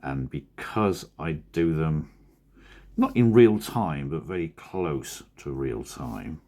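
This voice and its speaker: Very deep hoarse man with a croaky voice. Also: lively intonation, takes it slowly, mellow. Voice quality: croaky, husky. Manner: rambling, self-correcting, drowsy. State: hoarse from a cold. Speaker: cartoonist vlogger. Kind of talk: casual studio vlog talk.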